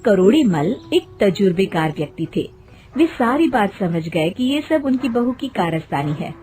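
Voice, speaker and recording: A woman's voice: mid-range voice, sing-song, normal speed, dark tone, faint music behind. A woman narrator reading a scripted moral tale.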